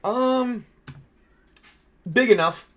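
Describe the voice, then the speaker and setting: A man casually narrating a tabletop role-playing game as game master, excitable and quick to swear. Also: extremely high pitch.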